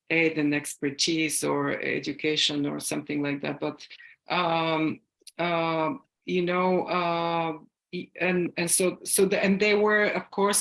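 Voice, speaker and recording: A woman from Bulgaria answering an audience question on a video call, speaking haltingly with many fillers and restarts. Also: deep voice, poor audio. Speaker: guest speaker.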